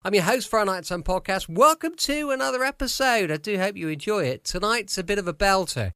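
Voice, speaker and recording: A British man hosts a podcast in a chatty style. His voice is fairly high and very animated, recorded close and dry. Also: a little fast, clean tone, no echo. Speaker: podcast host. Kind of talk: chatty scripted introduction. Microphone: close studio microphone.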